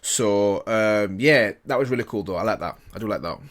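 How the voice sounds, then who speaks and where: Low-pitched man, enthusiastic reaction host. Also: normal speed, very noisy. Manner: enthusiastic, repeats himself for emphasis. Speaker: host of a reaction and review channel. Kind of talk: casual reaction commentary.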